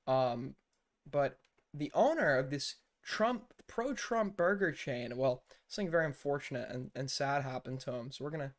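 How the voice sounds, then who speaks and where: A man's voice: medium pitch, animated, moderate pace. Blunt, rambling young man streaming. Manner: rambling and blunt, with frequent fillers and profanity. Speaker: streamer reacting to news clips. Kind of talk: casual live-stream commentary.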